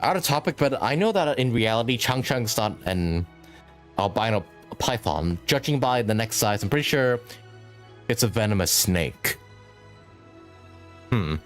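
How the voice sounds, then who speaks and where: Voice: mid-range voice, very animated, measured pace, long pauses, soft background music. A game streamer giving casual, giggly live commentary while musing aloud.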